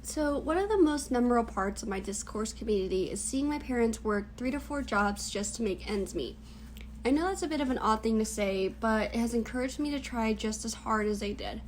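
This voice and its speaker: A woman's voice: fairly high pitch, lively intonation, a little fast, low-quality recording. Young woman, early 20s, native Cantonese speaker, reads prepared interview answers earnestly; faint background noise. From United States. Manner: earnest, scripted, reflective. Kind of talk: read-aloud class project interview.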